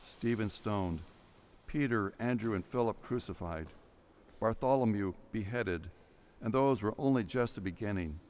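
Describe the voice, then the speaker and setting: Low-pitched male dramatic story narrator. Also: unhurried, darkish tone, slight background noise.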